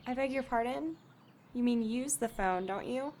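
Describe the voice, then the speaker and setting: An actress playing a polite, soft-spoken bereaved daughter in an audio drama. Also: female voice, on the high side, moderate pace, natural voice. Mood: subdued. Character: subdued, courteous bereaved daughter.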